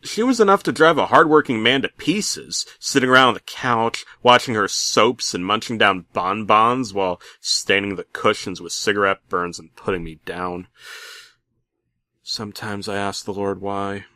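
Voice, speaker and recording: A man playing a bitter middle-aged working dad who narrates a comic horror story with theatrical, aggrieved deadpan. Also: lowish voice, normal speed, no room sound, clean studio sound. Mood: weary, resentful. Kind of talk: comedic creepypasta narration.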